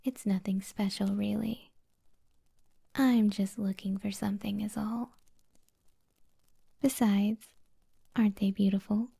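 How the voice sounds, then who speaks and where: A kind witch of the woods, acted by a woman, murmuring in an intimate ASMR roleplay. The voice is very dark and soft, with a gravelly fry under the breathy whisper. The delivery stays even, soothing and gently flirty, teasing the listener. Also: medium pitch, takes it slowly, long pauses, heavily acted. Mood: gentle, wistful.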